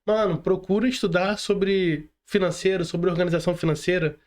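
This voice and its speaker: High-voiced Brazilian man hosting a casual podcast. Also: fairly even intonation, normal speed, a little mellow. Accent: Brazilian Portuguese. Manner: informal, slangy, addresses listeners directly. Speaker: podcast host.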